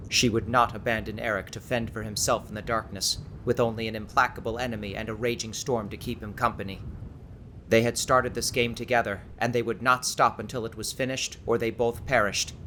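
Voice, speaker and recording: A man's voice: fairly low pitch, fairly even intonation, moderate pace, pushed and bright, a little hiss or hum. A man reading an audiobook as dramatic scripted narration.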